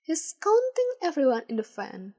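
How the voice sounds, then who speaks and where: A woman reading fan fiction aloud as a podfic, with calm, measured storytelling. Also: sits high. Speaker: podfic reader.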